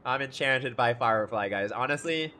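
A man's voice: mid-range voice, moderate pace, bright, forceful tone, a little hiss or hum. A young man reacting live to a game as a streamer, chatting casually in gushing, slangy talk. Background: faint game music.

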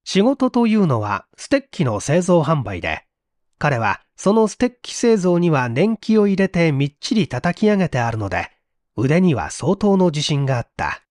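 A man reading a literary mystery story aloud in an expressive, theatrical storytelling manner. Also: fairly high pitch, normal speed, no room sound, studio quality. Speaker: reader of audio stories.

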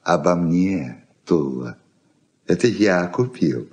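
Querulous old uncle, swooping intonation. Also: male voice, low-pitched, lively intonation, slow pace, a little mellow. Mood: plaintive. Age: older. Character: elderly uncle, plaintive, touchy, slightly doddering. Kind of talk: Soviet radio play acting, 1981.

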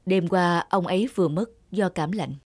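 A woman voicing a calm, formal middle-aged male doctor in a cartoon. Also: on the low side, normal speed, over music, natural voice. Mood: calm, informative. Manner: formal, explanatory. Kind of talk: cartoon dubbing.

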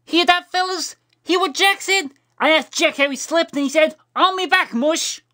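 Woman doing a goofy giggly newsboy, high and bright, bouncy excitable. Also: unhurried, heavily acted. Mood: giddy. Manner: giddy, excitable.

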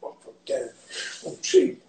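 A man's voice: brisk, warm tone. A young man co-hosting a casual livestream from a home kitchen, blunt and slangy with callers. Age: young adult. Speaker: co-host of a livestream. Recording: noisy phone livestream with clicks.

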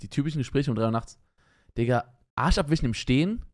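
A man's voice: medium pitch, expressive, quick pace. A young man streaming from his room, casually reacting to meme clips and laughing often, talking into a studio microphone. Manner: chatty, laughs often, easily startled. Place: streaming room with colored lights. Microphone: studio microphone in front, headphones on.

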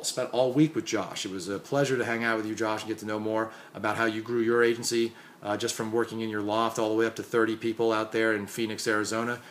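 Hoarse young male consultant, tired, casual chatty shout-outs, lowish voice, faint background hiss. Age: young adult. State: hoarse and exhausted. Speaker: marketing consultant. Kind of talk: casual conference recap vlog. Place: small dim room indoors.

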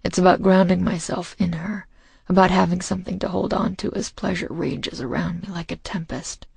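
A man narrating a romance audiobook in the first person, intimate, hushed and intense, with deliberate phrasing. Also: high voice, steady tone, no echo. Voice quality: husky, breathy, gravelly.

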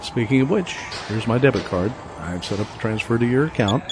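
An actor playing a polite, composed college professor who speaks formally. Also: male voice, mid-range voice, lively intonation, brisk, noisy background, lightly acted. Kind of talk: radio drama acting. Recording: dialogue over music.

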